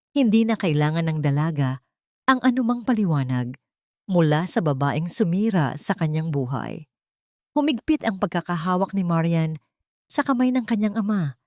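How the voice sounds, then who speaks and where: Low-pitched woman narrating a dramatic story. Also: a little mellow, no room sound, clean studio sound.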